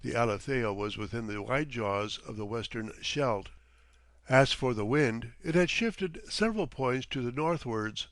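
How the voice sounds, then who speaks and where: A male narrator reads literary fiction with deliberate, dramatic phrasing. His intonation moves widely and expressively. The sound is dry and close, with no room around it. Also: medium pitch, animated, fairly bright tone, dry recording. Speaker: audiobook narrator.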